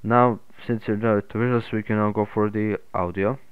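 A man narrating an unscripted software tutorial in a halting, hesitant way. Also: on the low side, fairly even intonation, smooth, no echo. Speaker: tutorial host. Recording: muffled phone-like narrow-band audio.

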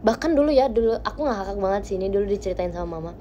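A young woman hosting a casual livestream from her bedroom, chatting with viewers into a phone held close. She talks playfully, with quiet murmurs that jump into bright, lively bursts. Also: mid-range voice, very fast, slight background noise. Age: young adult. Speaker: idol livestream host. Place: small bright bedroom indoors. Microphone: phone camera close to face.

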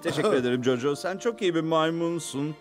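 An actor playing a cheerful, kindly, absent-minded scientist in a cartoon dub, jolly and exaggerated. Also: male voice, a little high, fairly quick, over music, close to an everyday voice.

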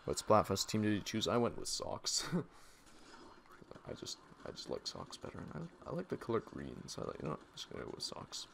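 Man, hoarse game streamer, deep gravelly voice, lively pitch, audible background noise. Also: a little fast. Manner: reads chat aloud and answers viewers. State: losing his voice, hoarse. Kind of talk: casual live-stream commentary.

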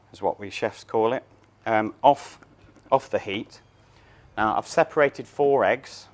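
A man in his thirties gives a cooking demonstration, his voice sitting somewhat low. He explains each step plainly while he works, picked up on a clip-on mic in a large showroom kitchen. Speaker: chef.

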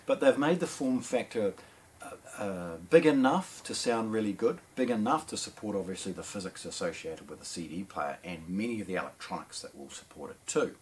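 A middle-aged man with a New Zealand accent presenting a product unboxing in a measured, explanatory way. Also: fairly low pitch, animated, husky. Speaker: audio shop presenter. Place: indoor shop demo room with shelves and speakers.

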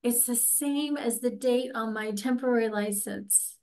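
A woman's voice: a little high, a little slow, warm tone. A woman in her forties playing an exasperated, persistent licence applicant in a staged comedy reading, with a plaintive manner. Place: indoors, on a video call.

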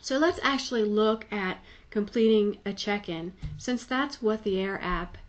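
Woman narrating a software tutorial. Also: mid-range voice, a little slow, lo-fi sound. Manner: plain-spoken, instructive, informal phrasing. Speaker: tutorial presenter.

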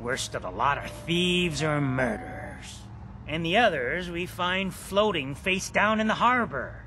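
An actor playing a proud, gruff barkeep in a video game, with a hearty, folksy, emphatic way of talking. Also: male voice, a little high, lively intonation, a little slow, pushed and bright, slight background noise. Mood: hearty welcome. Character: proud, gruff barkeep in a post-apocalyptic harbor town. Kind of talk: video game character acting.

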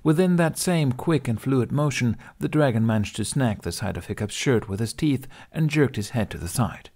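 A man's voice: mid-range voice, expressive, moderate pace, a little mellow. A man narrating a scripted story as an audiobook reader.

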